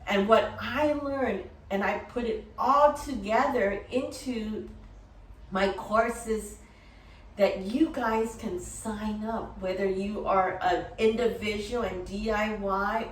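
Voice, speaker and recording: A middle-aged woman telling personal stories casually, rambling in an animated way and acting out remembered dialogue. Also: a little high, slight background noise. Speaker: dog-business entrepreneur and course creator. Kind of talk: casual personal storytelling to camera. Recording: roomy echo, distant from mic.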